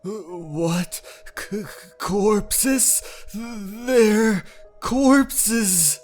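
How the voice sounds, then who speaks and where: A man voicing a frightened young male fisherman with a high, strained, fearful voice. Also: very slow, soft background music. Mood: terrified.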